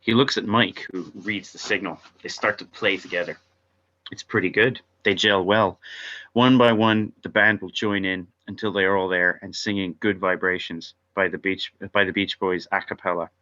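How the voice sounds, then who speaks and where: Male narrator for screenplay read-through: deep, forward tone, somewhat dull recording. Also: fairly even intonation, normal speed, sharp tone. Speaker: narrator reading stage directions at a table read. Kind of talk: reading screenplay directions aloud.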